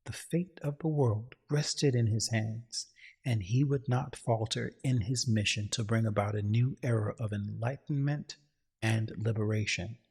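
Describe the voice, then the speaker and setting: Very husky man narrating a story. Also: fairly low pitch, measured pace, a little mellow. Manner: solemn, grandiose storytelling. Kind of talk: dramatic scripted story narration.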